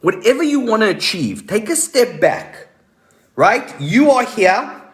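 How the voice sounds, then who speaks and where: Man, somewhat high voice, motivational coach, live-stream host, emphatic delivery, deliberate pauses, repeated key phrases. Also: sing-song, fairly bright tone. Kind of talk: motivational coaching talk to online viewers.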